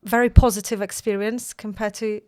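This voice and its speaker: Polish-accented woman, broker, steady even delivery with clean clear tone, laughs easily. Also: mid-range voice, normal speed. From Poland. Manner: laughs often, chatty storytelling. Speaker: mortgage broker and company founder. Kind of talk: casual interview chat.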